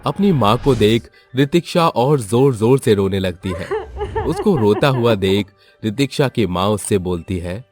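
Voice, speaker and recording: A man's voice: lowish voice, expressive, measured pace. A man narrating a scripted moral story for children. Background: background music.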